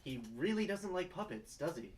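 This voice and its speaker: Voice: medium pitch, big pitch swings, moderate pace, close to an everyday voice. A young performer playing an earnest, easygoing young male lawyer in a sitcom table read, conversational and easily startled.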